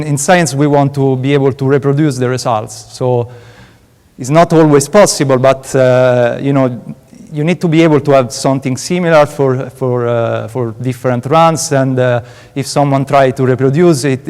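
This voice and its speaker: A young man with an Italian accent presents his research at a conference, pausing often and filling gaps with hesitant sounds. His voice is smooth and resonant at a middle pitch, carried by the long reverb of a large hall. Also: darkish tone. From Italy. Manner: hesitant, frequent filler sounds. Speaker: PhD student presenting research. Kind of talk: conference talk.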